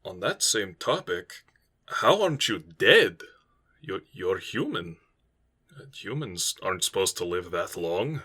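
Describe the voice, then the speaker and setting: A heavyset dwarf, voiced by a man, speaks low and slow with a bright, forceful edge. He is hesitant and plain-spoken. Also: very animated, husky, heavily acted. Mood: matter-of-fact.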